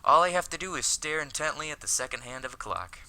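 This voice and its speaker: A man voicing an earnest, lovestruck, self-conscious high school boy from an anime visual novel, in a wordy, dramatic read-aloud delivery. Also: expressive, fast talker, gravelly, bright, forceful tone, exaggerated voice. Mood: wistful, dramatic.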